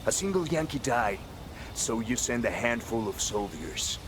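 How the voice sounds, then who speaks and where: A male rebel leader in an action game, stern and impassioned. His voice sits at a medium pitch and he speaks with urgent, rhetorical force. Vehicle noise is clearly audible around him. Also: sing-song, measured pace. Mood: indignant. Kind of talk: cinematic game dialogue.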